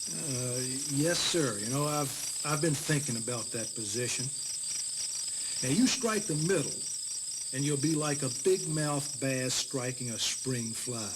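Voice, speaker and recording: An actor playing a cautious, folksy, plain-spoken Confederate general in a historical audio drama. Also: male voice, mid-range voice, a little slow, natural voice. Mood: wry, reasoning. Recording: noisy tape with cricket ambience.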